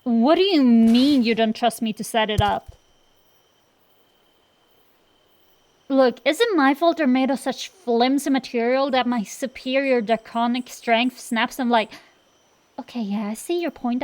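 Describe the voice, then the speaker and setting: A woman's voice: a little high, a little mellow, lightly acted. A woman playing a cocky tsundere dragon-girl sorceress in an acted roleplay, bantering straight to the listener with sassy, slangy, defensive bluster. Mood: brash, excited.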